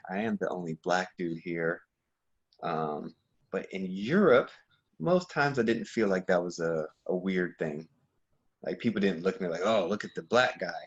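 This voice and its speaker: A young American man talking as a podcast guest in a relaxed, reflective way, with fillers and restarts. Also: deep voice. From United States. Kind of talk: casual conversation. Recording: dull lo-fi sound.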